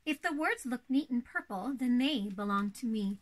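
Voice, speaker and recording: A woman's voice: on the high side, lively intonation, normal speed. A young woman playing an elegant, proper older-sister princess in a children's storybook read-aloud, theatrical and poised. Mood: welcoming. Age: young adult.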